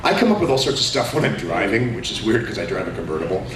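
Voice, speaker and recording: A middle-aged man, a voice actor on a convention panel, talking in an animated, jokey way and slipping into character voices. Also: fairly low pitch, quick pace, a crowd in the background. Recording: echoey hall, far from mic, noisy.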